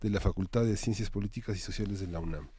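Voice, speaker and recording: A man's voice: deep voice, fast talker, dark tone, husky. A man with a Mexican accent presenting news and introducing guests as a television host. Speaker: TV magazine show host.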